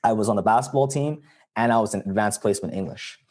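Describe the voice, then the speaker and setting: A young man from Canada, telling stories as a podcast guest in a relaxed, chatty way. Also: on the low side, fast. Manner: relaxed, chatty storyteller, many likes and you-knows. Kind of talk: casual interview chat.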